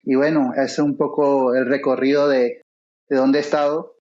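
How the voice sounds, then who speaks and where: A man in his forties, a guest author, answering interview questions haltingly, with many fillers and pauses, over a remote call. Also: mid-range voice, little pitch movement, a little mellow, highs cut off. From Venezuela. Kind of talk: interview conversation.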